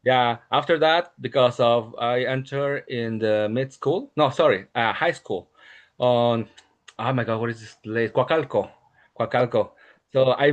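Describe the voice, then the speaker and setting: A man of 31 with a Mexican accent and Spanish as his native language, answering casually as an interview guest. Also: medium pitch. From Mexico City and State of Mexico, Mexico.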